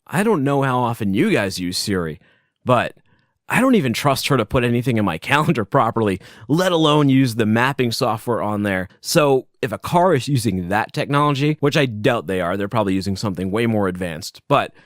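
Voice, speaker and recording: A man hosting a solo podcast from his basement, talking loudly and casually, rambling and chuckling as he goes. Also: mid-range voice, a little fast, no echo, clean studio sound. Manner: animated, rambling, self-interrupting, chuckles often; loud voice. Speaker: podcast host. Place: home basement.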